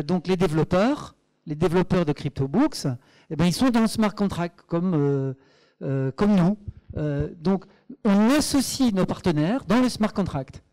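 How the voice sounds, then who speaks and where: A Belgian man presenting at a tech meetup, his voice a touch higher than most and mellow rather than bright. He talks hesitantly, with fillers and restarts, then drives his points home emphatically. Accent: Belgian French. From Belgium, Brussels. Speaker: startup co-founder presenting at a meetup. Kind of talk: conference presentation.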